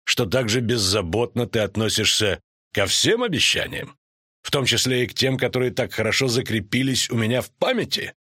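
A gruff islander lord, played by a male narrator, speaks calmly with a stern bite. His voice sits a little low and is recorded dry, as in a fantasy audiobook. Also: brisk, no echo. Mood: sullen, biting. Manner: calm, sullen, biting.

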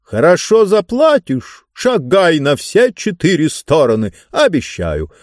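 Man acting a pompous, greedy, drawn-out tyrant. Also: on the high side, wildly swooping pitch, dry recording, clean studio sound, voice pushed up. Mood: greedy, commanding. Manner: theatrical, drawn-out, emphatic delivery. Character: pompous, greedy tyrant with a huge mouth, savouring words. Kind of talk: audiobook character voice.

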